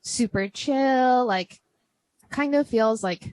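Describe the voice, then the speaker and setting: A woman's voice: a little high, takes it slowly, darkish tone, smooth, no echo. Chatty young woman with a big voice. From United States. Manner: chatty, laughs often, lots of "like". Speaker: podcast host. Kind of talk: casual chat over drinks.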